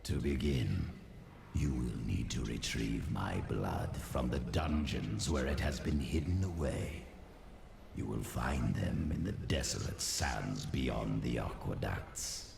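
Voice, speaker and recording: A man playing a sinister, mocking undead sorcerer in a fantasy game, with a gravelly, whispery voice and slow menace. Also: extremely low pitch, animated, music in the background, heavily acted. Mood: cold bargaining. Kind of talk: dramatic fantasy game acting.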